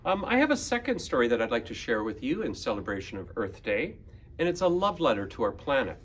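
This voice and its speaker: A man in his fifties reading a picture book aloud to children in a deliberate manner. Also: medium pitch, big pitch swings, fairly bright tone, a little hiss or hum. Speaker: school librarian, guest reader. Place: school library room, indoors.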